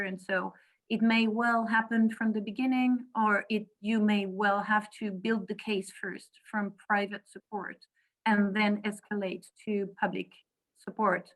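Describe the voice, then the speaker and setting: A woman with a voice of average pitch speaks on a panel. She explains things in a measured way, sometimes searching for the right phrase. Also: level tone, fairly bright tone, poor audio. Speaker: panel speaker, foundation director. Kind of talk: considered explanatory answer in a discussion.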